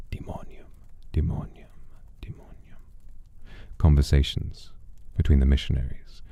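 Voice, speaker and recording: A young man streamer telling a story in character, slow and theatrical, dipping into hushed whispers. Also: rumbling low, vocal fry, dry recording, dull audio.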